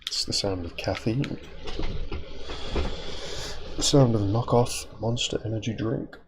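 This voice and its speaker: A man's voice: fairly low pitch, unhurried, very noisy. A man streaming from home, a little nasal while recovering from an illness, rambling to himself as he sets up his stream. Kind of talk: casual stream setup chatter.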